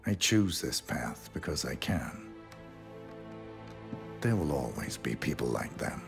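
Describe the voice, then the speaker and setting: An actor playing a cold, stoic professional assassin in a video game, talking in terse, flat, clipped lines. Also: male voice, slow, over music, exaggerated voice. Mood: resolute. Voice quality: low, gravelly, clipped. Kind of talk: video game cutscene acting. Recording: game score behind.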